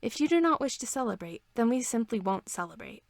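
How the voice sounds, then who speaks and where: Lady doing a reserved alien scientist guy from a story, formal and gentle, measured wording, dry sound. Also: fairly high pitch, sing-song, no echo. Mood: tender, assured.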